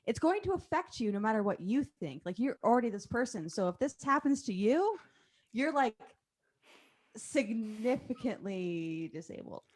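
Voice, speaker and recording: A woman's voice: a little high, very animated, moderate pace. A woman guest-hosting a podcast in casual chat, blunt and teasing.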